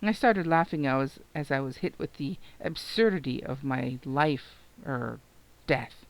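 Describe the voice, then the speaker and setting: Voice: mid-range voice, measured pace, low-quality recording. A narrator reading a novel aloud in the first person, dramatically and expressively, acting out the emotion.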